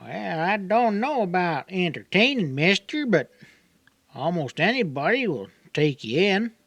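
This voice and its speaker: A man's voice: high voice, very animated, a little slow, fairly bright tone, dry recording, voice pushed up, full character voice. A man voicing a talkative, naive young backwoods native in an audiobook, with a rural Southern accent and a folksy, rambling drawl. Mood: eager. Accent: rural Southern hillbilly. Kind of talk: audiobook character dialogue.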